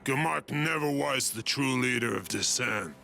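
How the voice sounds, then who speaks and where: An actor playing a proud, bitter alien tribesman with a grave, forceful way of talking, in a video game. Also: medium pitch, normal speed, vocal fry, a little hiss or hum. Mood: defiant. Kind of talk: video game cutscene acting.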